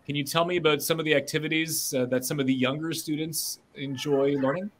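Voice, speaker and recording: A man's voice: on the high side, fairly even intonation, fairly quick, noisy background. A middle-aged man interviewing students over a video call in an upbeat, encouraging way. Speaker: interviewer host. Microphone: webcam on a video call.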